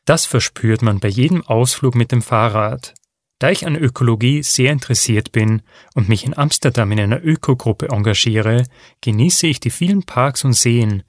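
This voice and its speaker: Man, calm reading, dry close sound. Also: mid-range voice, moderate pace, no echo. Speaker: reader of a listening exercise. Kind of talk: scripted reading of a textbook listening text.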